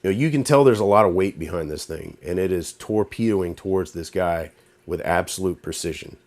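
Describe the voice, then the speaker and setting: Man, very low voice, stuffy nose, chatty rambling vlog talk. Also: brisk, darkish tone. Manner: rambling, conversational, with fillers and restarts. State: congested, nasal from a cold. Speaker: YouTube host. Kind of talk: casual talking-to-camera commentary.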